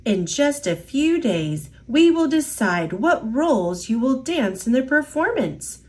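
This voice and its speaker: A woman voicing a calm, encouraging adult ballet teacher in a storybook read-aloud, speaking with gentle, measured instruction. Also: sits high, pitched above the performer's own voice.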